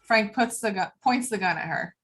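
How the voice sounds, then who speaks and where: A woman with a middle-pitched voice narrates a film script. She reads short action lines and stage directions in sequence, as at a table read. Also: moderate pace, a bit bright. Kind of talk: reading screenplay action lines.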